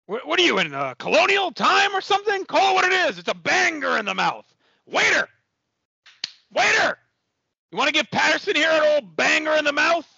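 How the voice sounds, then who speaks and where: A brash wrestling tycoon in a comedic audio play. The voice is very high and thick with gravelly creak, swinging wildly as he blusters and shouts. The recording is dry and a little dull. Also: very animated, dry recording, full character voice. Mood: crude, needling. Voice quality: gravelly, strained growl. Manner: bombastic, abruptly shouting, drawn-out emphasis. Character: brash, boastful, manic wrestling tycoon boss.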